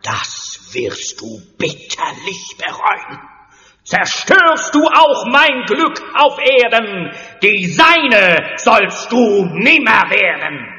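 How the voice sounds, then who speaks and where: An actor playing a lovesick stage actor who declaims pompous rhymed verse theatrically in a German audio drama. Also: male voice, sits high, huge pitch swings, drawn-out words, pushed and bright, exaggerated voice. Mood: melodramatic despair. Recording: dull sound in a long-reverberant echoing hall.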